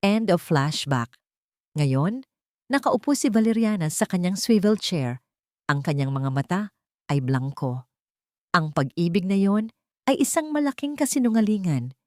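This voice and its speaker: A woman's voice: lowish voice, darkish tone, no echo, studio quality. A woman narrating a scripted romantic drama story in a storytelling style.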